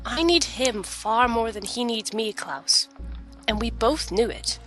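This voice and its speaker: An actress playing an earnest, determined alchemist adventurer in a fantasy audio drama, speaking in a measured, serious way. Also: female voice, a little high, animated, fairly bright tone. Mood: firm. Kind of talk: audio drama narration and dialogue. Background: music.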